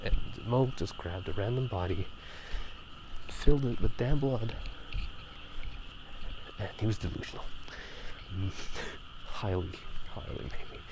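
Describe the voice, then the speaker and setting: A man with a fairly low voice speaks in a soft, breathy half-whisper, like a host of casual gaming ASMR. He rambles and pauses as he thinks aloud. The recording is made outdoors at night, with clear ambient noise behind him. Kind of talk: casual ASMR game talk. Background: drainage pipe water, passing cars.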